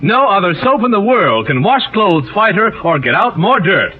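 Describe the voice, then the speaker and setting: A man announcing a 1940s radio soap commercial as a brisk, emphatic pitchman. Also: very animated. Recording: dull 1940s radio recording.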